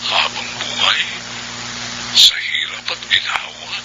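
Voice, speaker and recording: A man's voice: bright, forceful tone, dull audio. A man acting a solemn wedding priest in a Filipino radio drama, ceremonial in manner. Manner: slow, ceremonial.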